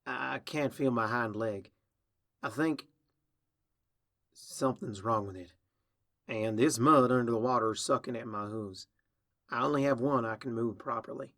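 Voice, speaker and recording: Storybook role: blunt big-sister mare from the farm, emphatic, bright forceful delivery male reader performing. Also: mid-range voice, measured pace, long pauses, no echo, clean studio sound. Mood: grim, practical.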